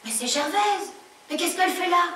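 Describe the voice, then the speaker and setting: Shrill, mocking concierge. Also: female voice, expressive, moderate pace, full character voice. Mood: astonished. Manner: shrill, loud, jeering. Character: mocking, nosy female concierge summoning a crowd. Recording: echoey room from far off, faint noise.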